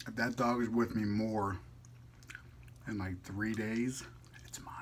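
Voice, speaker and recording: A man's voice: on the low side, fairly even intonation, takes it slowly, slight background noise. A man chatting casually as a vlog host, playful and slangy, rambling as he goes. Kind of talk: casual chat to camera.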